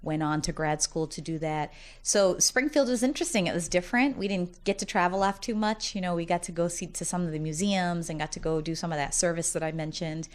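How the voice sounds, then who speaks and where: A low-pitched woman in her forties talks with easy, upbeat energy. She chats like a college dean at ease in an interview, telling stories at length and often laughing as she talks. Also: brisk, few pauses. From United States, Massachusetts. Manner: talkative, upbeat storyteller who often laughs while talking. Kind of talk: casual interview conversation.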